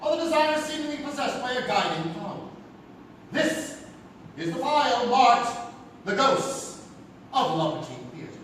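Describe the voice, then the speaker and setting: A man's voice: extremely high pitch, sing-song, unhurried, pauses often, fairly bright tone. A man delivering grandiose, dramatic scripted narration for an anthology show on a theatre stage. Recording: distant, very echoey theatre with noise.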